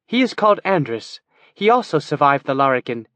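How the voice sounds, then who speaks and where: A man's voice: a little high, sing-song, a little fast, fairly bright tone, dry recording, pitched above the performer's own voice. A man voicing a proud, stern female elf wizard who speaks in a commanding, cryptic way.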